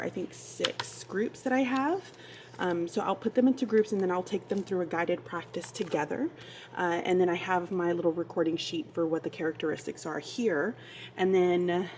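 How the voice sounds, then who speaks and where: A woman's voice: on the low side, darkish tone, a little hiss or hum. A woman teacher talking casually through her week in a vlog, chatty and a bit tired, with a sleepy voice. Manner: chatty, with frequent ums. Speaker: fourth grade teacher.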